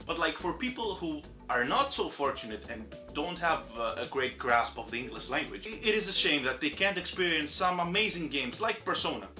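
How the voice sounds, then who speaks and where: A man's voice: moderate pace, few pauses. A young man from Greece with a Greek accent, a native Greek speaker, chatting casually and self-deprecatingly about game award nominees as a channel host. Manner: chatty and self-deprecating, animated swings in pitch. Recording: roomy echo with faint music behind.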